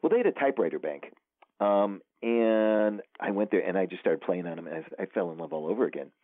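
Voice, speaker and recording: A lowish-voiced man talks over a thin, phone-like line, dry and close. He rambles easily, stopping and restarting with lots of ums and "you know"s. It sounds like a relaxed guest chatting in an interview. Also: fairly quick, no room sound. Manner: rambling, many fillers and restarts. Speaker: author guest. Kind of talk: casual interview chat.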